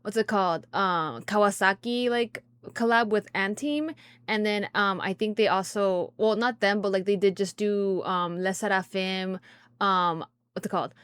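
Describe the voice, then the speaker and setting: A woman's voice: medium pitch, moderate pace, a bit bright, clean tone, dry recording. A woman hosting a music reaction video, rambling casually and often losing her words mid-thought. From grew up speaking Spanish. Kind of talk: casual chat.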